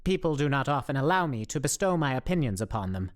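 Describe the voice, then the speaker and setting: A man's voice: mid-range voice, sing-song, fast, close to an everyday voice. A man playing an earnest, socially awkward survivor in a radio play, speaking in stiff, wordy, over-precise phrases. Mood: earnest, formal. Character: earnest, socially awkward, overly formal male zombie survivor.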